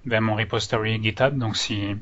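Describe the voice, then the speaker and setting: Man, French accent with Canadian lilt, bright forceful voice, hesitant presenter, informal tech talk, dull lo-fi call audio. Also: lowish voice, steady tone, a little fast. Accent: French with Canadian-influenced intonation. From France. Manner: hesitant, nervous speaker. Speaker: user group organizer, presenter. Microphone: online meeting microphone.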